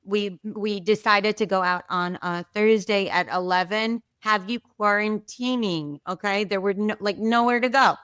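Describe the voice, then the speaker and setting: A woman guest telling a story in a casual, animated way, full of fillers and asides, from Israel. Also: mid-range voice, a little slow, few pauses, clean tone, no room sound, clean studio sound. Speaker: podcast guest.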